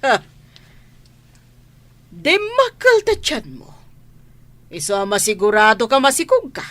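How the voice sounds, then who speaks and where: A nosy madam of the house played by a woman in a radio comedy drama. Her voice swings widely in pitch as she interrogates and scolds with suspicion. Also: wildly swooping pitch, measured pace, a bit bright, no room sound, exaggerated voice. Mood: suspicious, scolding. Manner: interrogating, exclaiming, emphatic.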